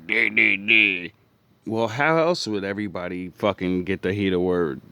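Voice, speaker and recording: A man's voice: lowish voice, vocal fry, fairly bright tone. A man reacting to clips in casual, profane, sarcastic commentary. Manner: sarcastic, profane, mocking asides.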